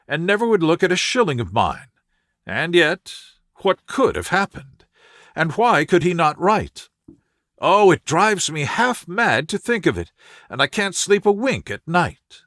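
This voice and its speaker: A man's voice: fairly high pitch, very animated, unhurried, fairly bright tone, no echo, studio quality, voice pushed up. A man voicing a distressed, loyal young woman with an earnest, plaintive manner in an audiobook story. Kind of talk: audiobook character dialogue.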